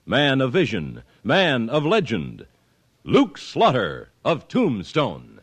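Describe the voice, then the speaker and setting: A man's voice: medium pitch, wildly swooping pitch, slow pace, heavy background noise. An American man announcing a radio western in a grand, declamatory 1950s style.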